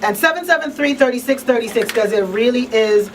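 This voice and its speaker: A woman's voice: a little high, animated, fairly quick. A woman hosting a live call-in talk show, speaking emphatically and conversationally, often checking that listeners follow her. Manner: emphatic, conversational, checks in with "you know what I mean". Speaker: talk show host and crisis counselor. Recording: distant mic, faint background noise.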